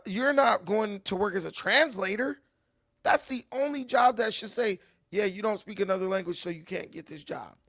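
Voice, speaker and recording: A man's voice: high voice, dry recording, clean studio sound. A man hosting casual reaction commentary, ranting and repeating words as he builds his point. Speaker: commentary host.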